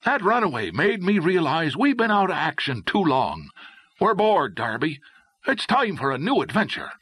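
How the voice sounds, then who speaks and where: A man's voice: a little high, big pitch swings, moderate pace, fairly bright tone, husky, dry recording, pitched above the performer's own voice, full character voice. A man voicing an eager, reckless young male adventurer in an audiobook, enthusiastic and exclamatory. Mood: restless, eager. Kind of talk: audiobook character voice.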